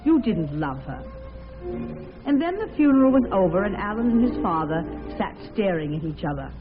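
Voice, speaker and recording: A woman's voice: mid-range voice, very animated, moderate pace. A woman giving scripted dramatic narration on a tinny vintage radio recording, with music behind her.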